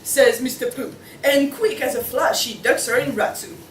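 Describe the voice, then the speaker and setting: A woman's voice: high voice, normal speed. A young woman reading scripted narration as a student narrator at a play table read. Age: young adult. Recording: echoey room, distant mic, faint noise.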